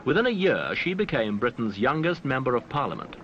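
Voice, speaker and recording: A man reading scripted documentary newsreel narration in a formal, measured way with dramatic phrasing. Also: medium pitch, very animated, dull audio. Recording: noisy, band-limited archival newsreel soundtrack.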